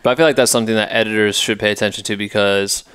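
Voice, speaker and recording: A man's voice: lowish voice, steady tone, racing, fairly bright tone, clean tone, no echo, clean studio sound. A man in his thirties hosting a casual podcast on a headset mic, blunt and swearing freely. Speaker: podcast host, filmmaker. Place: small dining room, indoors.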